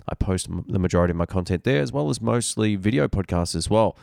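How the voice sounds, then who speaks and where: A man in his thirties hosts his podcast with a slightly nasal, blocked-up voice left by a cold. His tone sits a little low and stays smooth. He rambles in a friendly way into a close mic in a dry room. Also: darkish tone, no room sound. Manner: rambling, chatty, with fillers and restarts. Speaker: podcast host. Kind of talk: casual solo podcast talk.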